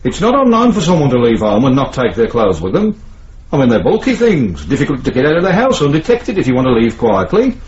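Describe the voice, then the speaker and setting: An actor playing a cynical, weary police sergeant in a radio drama, with a British accent and a brusque, sardonic manner. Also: male voice, medium pitch, huge pitch swings, fairly quick, a little mellow. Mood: calm, official. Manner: brusque, world-weary, sardonic, officially polite. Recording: dull, lo-fi 1970s radio recording.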